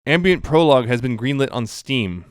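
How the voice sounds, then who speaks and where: A man's voice: mid-range voice, expressive, moderate pace, smooth, dry recording, clean studio sound. A man co-hosting a podcast, talking casually through fan news items.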